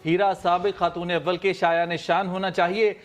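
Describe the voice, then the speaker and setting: A male reporter reads a scripted news voice-over. His voice sits high for a man. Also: level tone, moderate pace.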